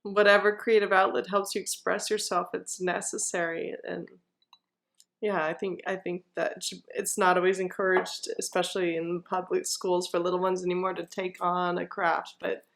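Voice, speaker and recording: A woman's voice: on the low side, flat delivery, normal speed, gravelly, a bit bright. A woman musician chatting casually as an interview guest.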